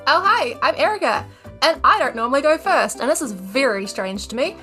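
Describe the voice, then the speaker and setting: A woman's voice: sits high, animated, brisk, bright, forceful tone, soft background music. A woman with a New Zealand accent chatting casually as a player on a tabletop roleplaying podcast. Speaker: player, regular cast member. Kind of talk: casual chat.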